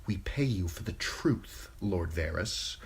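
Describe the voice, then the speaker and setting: A woman's voice: very deep voice, animated, moderate pace, slightly rough, voice pushed up, full character voice. A woman voicing a cold, imperious queen with clipped, threatening speech in an audiobook.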